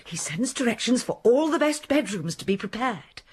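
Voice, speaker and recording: A chatty old housekeeper. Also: female voice, on the high side, very animated, normal speed, darkish tone, slightly rough. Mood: bustling. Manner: bustling, chattering. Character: fussy, chatty older female housekeeper. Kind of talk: radio drama acting.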